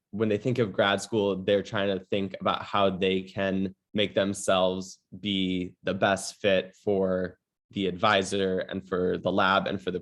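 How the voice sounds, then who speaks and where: A man moderating an online webinar, giving advice in a casual, halting way full of ums and likes. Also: deep voice. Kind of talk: casual presentation.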